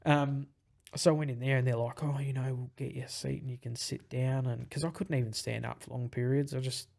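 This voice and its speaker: A young man with a husky voice and a gravelly fry on the ends of his words. He talks as a podcast guest in a relaxed, rambling way. His pitch sits in a plain middle range. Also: fairly quick. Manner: rambling, with many fillers and restarts. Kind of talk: casual chat.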